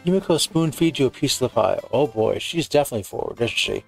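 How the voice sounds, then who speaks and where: A man gives a casual playthrough of a visual novel. His voice is gravelly with fry at a middling pitch, and soft music plays behind him as he reads the text out. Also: animated, fast. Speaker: gamer playing and narrating a visual novel.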